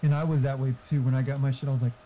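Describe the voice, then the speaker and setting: A man with a soft, mellow voice at an average pitch talks casually in a gaming chat. He explains things in a rambling, sweary way, with some noise on the recording. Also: flat delivery, racing. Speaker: gamer friend giving tech advice. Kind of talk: casual chat during a game stream.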